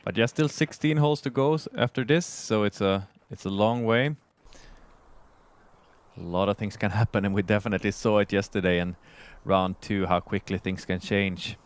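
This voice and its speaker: A man's voice: on the low side, moderate pace. A man from Sweden with a Swedish accent gives conversational live sports commentary in English as his second language.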